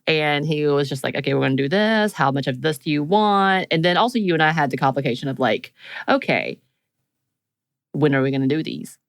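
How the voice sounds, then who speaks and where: Low-pitched woman, casual storyteller. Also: animated, fairly quick, no room sound, studio quality. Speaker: podcast co-host.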